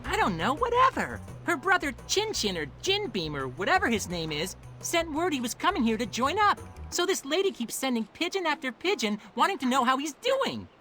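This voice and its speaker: A greedy, bratty martial arts school boss in a video game. Her voice is high and bright, leaping all over in pitch, with light music behind. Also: very animated, brisk, few pauses, full character voice. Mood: irritated. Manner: flippant, exasperated, bratty. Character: brash, money-minded woman running a martial arts school. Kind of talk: video game character acting.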